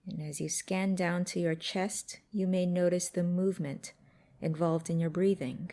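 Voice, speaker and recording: A woman guiding a mindfulness exercise in a gentle, unhurried, instructive way with pauses between phrases. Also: on the low side, fairly even intonation. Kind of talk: guided meditation narration.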